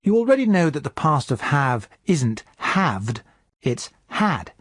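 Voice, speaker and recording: Male teacher with lively intonation. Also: a little high, slow pace, no echo. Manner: clear step-by-step explaining. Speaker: English teacher. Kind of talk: scripted language lesson.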